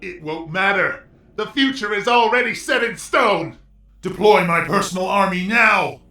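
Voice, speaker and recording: A man's voice: sits high, lively intonation, a little slow, bright, forceful tone, full character voice. A man acting an arrogant, menacing robot hedgehog villain in a fan audio drama, grandiose and commanding. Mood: triumphant, commanding. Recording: echoey, music underneath.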